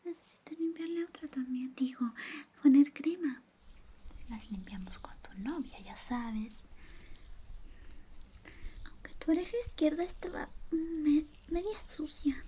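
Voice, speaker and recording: A woman performing a soft-spoken, partly whispered ASMR roleplay as an affectionate, teasing best friend, coy and doting. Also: high-pitched, lively intonation, measured pace, long pauses. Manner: coy, playful, doting, murmuring little interjections. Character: affectionate, teasing, jealous young female best friend in love with the listener.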